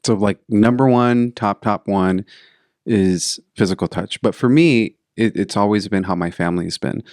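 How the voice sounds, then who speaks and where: A man hosting a casual interview, relaxed and curious, tossing out quick follow-up questions. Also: fairly low pitch, dry recording, clean studio sound. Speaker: podcast host.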